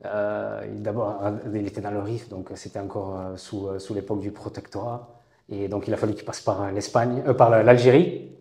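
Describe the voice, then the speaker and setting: A man in his late thirties from southern France, a guest telling his own story in a thoughtful, halting way with many pauses and restarts. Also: on the low side, flat delivery, dark tone, reverberant. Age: late 30s. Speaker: interview guest. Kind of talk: reflective personal storytelling.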